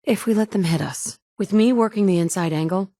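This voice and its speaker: A woman's voice: medium pitch, a little fast, warm tone, no room sound, lightly acted. A woman voicing a tough, sardonic survivor in a wasteland, talking in a dry, blunt way with sarcastic asides, recorded close up in a studio booth. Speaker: player character. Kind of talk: video game voice acting. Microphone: close studio mic.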